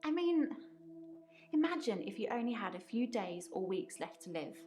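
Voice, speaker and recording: An actress voicing a young woman nurse in her twenties in a game, earnest and idealistic, speaking calmly. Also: on the high side, moderate pace, soft background music. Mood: sincere. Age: 20s.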